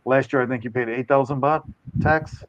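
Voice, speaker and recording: A man's voice: medium pitch, steady tone, normal speed, dry recording, lo-fi sound. An older man from the United States hosting a live stream, rambling, hedging and correcting himself in casual chat. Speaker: host.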